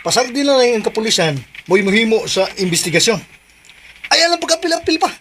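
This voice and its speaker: A man playing an excitable, anxious son in a Filipino radio drama, with a Cebuano accent. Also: extremely high pitch, a little fast, slight background noise, full character voice. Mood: alarmed.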